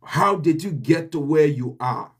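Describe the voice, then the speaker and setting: Slow, earnest Liberian man. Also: fairly high pitch, fairly bright tone, breathy edge. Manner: earnest and deliberate, with repeated emphasis. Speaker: channel host. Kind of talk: motivational address.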